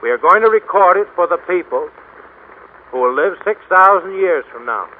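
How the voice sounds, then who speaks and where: A man presenting scripted narration on 1930s radio, solemn and deliberate with emphatic enunciation. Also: sits high, lively intonation. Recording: tinny, faintly noisy 1930s radio broadcast.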